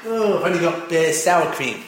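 A swearing, grumbling Irish cook put on by a man with a high, swooping voice that lurches up and down. He rants gruffly at the ingredients in a very echoey room. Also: lively intonation, moderate pace, noisy background, lightly acted. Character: foul-mouthed crude Irish male cook. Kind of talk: comedic cooking parody.

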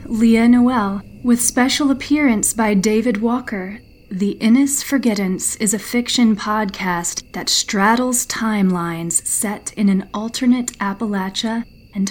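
A woman's voice: mid-range voice, darkish tone. A woman giving scripted narration for a fiction podcast trailer.

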